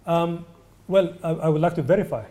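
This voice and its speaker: A man from Sudan, a UNICEF representative, answering press questions in a measured way with many hesitations. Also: on the high side, dark tone. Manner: measured, many ums and uhs, restarts. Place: indoor venue.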